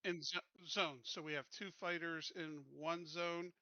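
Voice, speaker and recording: A man plans tactics as a player in a tabletop game, casually but insistently repeating his points. His voice is very sharp and a little high, recorded dry on a slightly dull voice-chat line. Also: fairly even intonation, measured pace, dry recording. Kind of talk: casual planning talk in a game.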